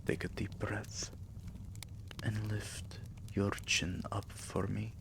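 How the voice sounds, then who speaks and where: Deep, gravelly vampire king, played by a man, slowly murmuring. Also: flat delivery, noisy background. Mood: hungry, tender. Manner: slow, soothing, breathy murmuring, often whispering. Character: tender, possessive male vampire king, doting lover. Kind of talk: intimate ASMR roleplay.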